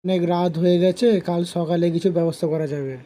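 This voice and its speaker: A man voicing a concerned, kindly village uncle in a horror cartoon, speaking in a deliberate, questioning manner. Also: sits high, steady tone, dark tone, lightly acted. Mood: placating. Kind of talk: animated horror cartoon dialogue. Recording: music under the voice.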